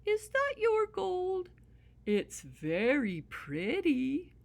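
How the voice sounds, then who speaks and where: A woman voicing a cheerful, chatty female dog in a storybook, in a bubbly soft manner. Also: high-pitched, wildly swooping pitch, very slow, gravelly, voice pushed up, heavily acted. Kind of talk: storybook character voice.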